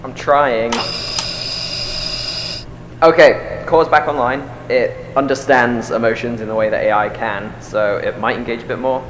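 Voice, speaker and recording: A man playing a pragmatic, slightly harried AI scientist in an audio drama, brisk and matter-of-fact in a natural style. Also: medium pitch, steady tone, highs cut off, background noise, natural voice. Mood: explanatory.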